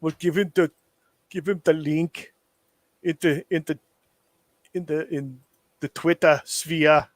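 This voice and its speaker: A relaxed man chats and jokes through a live stream, laughing often. His voice sits high and his pace is unhurried. The sound is dry and close, with no room around it. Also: dry recording. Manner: laid-back, joking, laughs often. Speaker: stream co-host. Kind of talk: casual live-stream chat.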